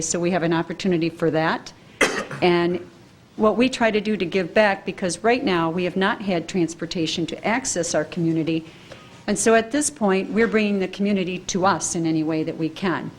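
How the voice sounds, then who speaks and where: A woman's voice: on the low side, a little hiss or hum. A woman presenting to a city council in an informal, upbeat, explanatory way, describing a daily program. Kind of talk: public presentation at council meeting. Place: council meeting room.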